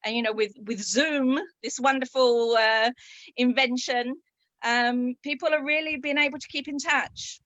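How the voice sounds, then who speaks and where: A woman with a fairly high, bright, crisp voice talks in an upbeat, chatty way, often pausing on ums. She is heard close and dry through a video call. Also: steady tone, no room sound, dull audio. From Israel. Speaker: guest, copywriter. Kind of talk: interview answers.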